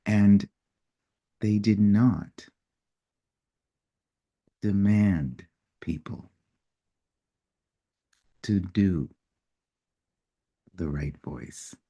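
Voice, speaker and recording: A man speaking in an unhurried, reflective way as he tells a personal story, now and then acting out what people said. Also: lowish voice, dull audio. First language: English. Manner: unhurried, reflective storytelling with lively acted-out quotes. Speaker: personal development facilitator.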